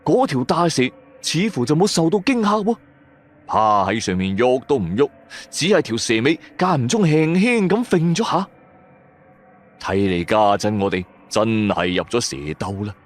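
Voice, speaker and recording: A man's voice: medium pitch, sing-song. A man narrating a first-person horror radio drama in a suspenseful, emphatic style. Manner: suspenseful, emphatic, drawn-out stresses.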